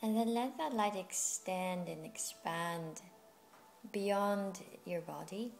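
A woman leading a guided meditation as a yoga teacher, speaking in a soothing, unhurried way with long pauses. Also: medium pitch, creaky. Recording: music playing behind the voice. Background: music.

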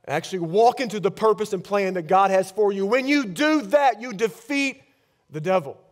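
A man in his thirties preaching a sermon on a large church stage with a headset mic, swinging between loud bursts and hushed lines. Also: sits high, lively intonation, normal speed. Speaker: pastor.

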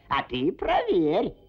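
A woman voicing a mysterious, playful doctor in an animated cartoon, theatrical and coaxing. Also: deep voice, extremely slow, heavily acted. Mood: sly, coaxing. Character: mysterious, playful doctor handing out magic pills. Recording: muffled old film soundtrack, music behind.

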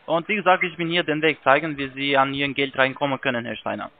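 A man making a scam phone call, halting with ums and broken grammar. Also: medium pitch, fairly even intonation. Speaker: scam caller. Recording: tinny phone line.

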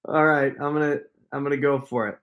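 A man in his forties with an American accent, chatting casually to a camera in a halting, half-muttering way. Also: fairly high pitch, level tone, fairly bright tone. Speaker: prank caller and video host. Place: home room with wood shelves, indoors. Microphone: desk camera at arm's length.

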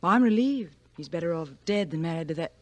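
A woman's voice: on the low side, animated, fast talker, lightly acted. An actress playing a cool, sardonic, unsentimental young woman in a 1940s radio drama. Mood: tart.

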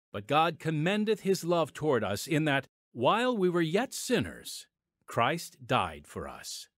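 A man hosting and narrating a scripted radio program with deliberate, dramatic phrasing. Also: a little high, a bit bright, no echo, studio quality. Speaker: podcast host and announcer. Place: studio voice-over. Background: music.

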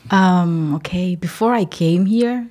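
Low-voiced woman from Myanmar. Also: takes it slowly. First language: not English. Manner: thoughtful, soft laughs between phrases. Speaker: guest, PhD student. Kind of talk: conversational interview answers. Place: indoor podcast recording.

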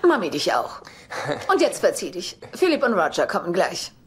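A woman's voice: on the low side, wildly swooping pitch, normal speed. Snide older sitcom mom, swoopy voice, dry and fake sweet, noise behind her. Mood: cool, cutting. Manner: dry, cutting, falsely sweet. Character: caustic, self-centred older mother. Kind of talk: German sitcom dub acting. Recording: clear background noise.

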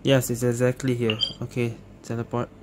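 A woman gaming streamer gives casual play-by-play in halting, muttered remarks. There is clear noise and game ambience behind her. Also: rumbling low, flat delivery. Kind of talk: casual gameplay commentary.

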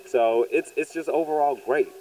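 A man's voice: mid-range voice, normal speed, mellow, slight background noise, low-quality recording. A man in his thirties from southern Louisiana, a playwright and producer answering interview questions conversationally on a handheld mic. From southern Louisiana, United States. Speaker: stage play producer and writer. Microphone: handheld microphone held by interviewer.

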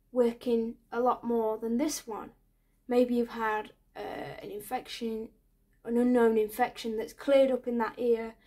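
A woman in her twenties speaking softly in an ASMR medical roleplay as an ear doctor, hesitant and reassuring. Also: fairly high pitch. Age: 20s. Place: small bedroom, indoors.

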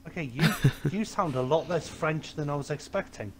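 A man's voice: a little high, moderate pace, a bit bright, a little hiss or hum. A man leading a game raid over voice chat, joking and swearing casually. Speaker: raid leader.

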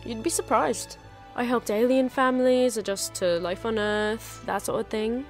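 A woman playing a warm, wry former investigator turned librarian in a full-cast audio drama. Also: on the high side, fairly even intonation, normal speed, soft background music, close to an everyday voice. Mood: reflective.

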